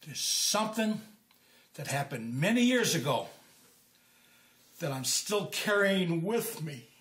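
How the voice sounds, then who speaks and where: An actor in his sixties playing an earnest, guilt-ridden older American man, halting and hesitant, in naturalistic film dialogue. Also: fairly high pitch, sing-song, a bit bright, heavily acted. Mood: hesitant, confessing. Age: 60s.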